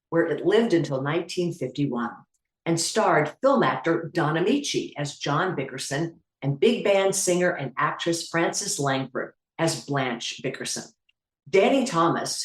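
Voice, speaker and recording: A woman's voice: sits low, roomy sound, poor audio. A woman hosting, giving an upbeat, earnest scripted introduction to a radio play and appeal for donations. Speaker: host.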